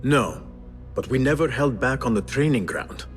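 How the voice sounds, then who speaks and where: An actor playing an earnest, reflective young assassin in a video game, speaking in a measured way. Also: male voice, medium pitch, expressive, soft background music, natural voice. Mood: wry. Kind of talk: cinematic video game acting.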